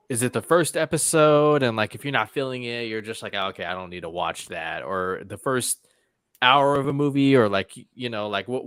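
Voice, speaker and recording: A man's voice: fairly low pitch, a bit bright, smooth, dry recording, clean studio sound. A man hosting a casual chat show, talking informally and circling around his point.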